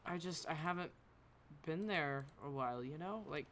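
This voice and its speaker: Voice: medium pitch, normal speed, a bit bright, slight background noise. A game streamer chatting casually and rambling while thinking aloud on a live stream.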